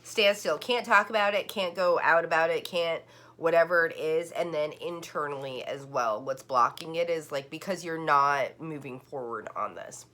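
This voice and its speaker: A woman's voice: low voice, moderate pace, sharp tone. A woman giving a casual online tarot reading, rambling and full of "like" fillers and restarts. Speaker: tarot card reader.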